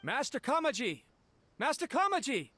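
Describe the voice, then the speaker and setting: A man's voice: extremely high pitch, wildly swooping pitch, normal speed, noisy background, full character voice. A man playing a nervous, deferential retainer in an anime game, polite and anxious. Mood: worried, calling out. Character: anxious, deferential young male retainer to a lord. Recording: music behind the voice.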